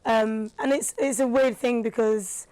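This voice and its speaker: A woman, a rapper and radio presenter, talking casually as a guest with a slightly raspy, tired voice and lots of fillers. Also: fairly high pitch, fairly even intonation, measured pace, warm tone, no echo, poor audio. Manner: chatty, thoughtful, with frequent fillers. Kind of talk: casual podcast conversation.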